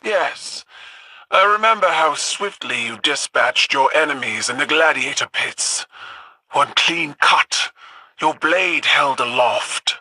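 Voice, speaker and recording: An actor playing a proud, nostalgic warrior in a video game, with a formal, declamatory manner. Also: male voice, on the high side, lively intonation, slow pace, bright, forceful tone, no echo, heavily acted, low-quality recording. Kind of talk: video game drama acting.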